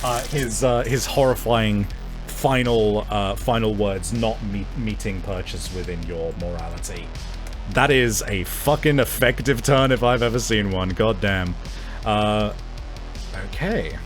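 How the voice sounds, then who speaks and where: A man running a tabletop roleplaying game as game master, narrating in a casual, excitable, sweary way. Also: lowish voice, a little slow. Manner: casual, profane, excitable storytelling. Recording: music playing behind the voice. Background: background music.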